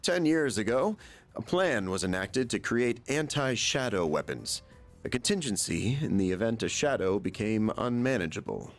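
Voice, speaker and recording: Genial male chairman, lively intonation. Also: on the low side, moderate pace, soft background music. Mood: explaining. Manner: genial, explanatory. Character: polite adult male chairman of a secret research group. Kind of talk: video game voice acting.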